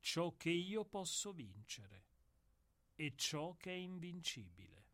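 A man's voice: on the high side, sing-song, fairly bright tone, full character voice. A man playing a brooding, solemn executioner. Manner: grave, measured, deferential. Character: brooding male executioner, somber and philosophical. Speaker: actor in a radio play. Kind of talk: radio drama acting.

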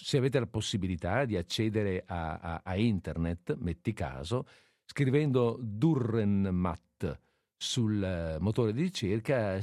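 A man's voice: lowish voice, mellow, dry recording, clean studio sound. A man hosting an unscripted cultural radio talk, speaking hesitantly and often searching for his words. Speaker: radio host.

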